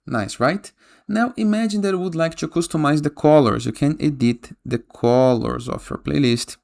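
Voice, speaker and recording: A man hosting a casual software tutorial voice-over, giving step-by-step instructions and often checking in with a short questioning tag. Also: fairly high pitch, normal speed, vocal fry. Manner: frequently tags instructions with a questioning "okay?". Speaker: tutorial host. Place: voice-over for a screen recording.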